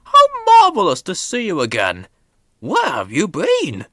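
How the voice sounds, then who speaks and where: A woman voicing a pompous male railway boss in a children's storybook, with emphatic, drawn-out exclamations. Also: lowish voice, huge pitch swings, fairly bright tone, dry recording, studio quality, voice pushed up, full character voice. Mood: delighted.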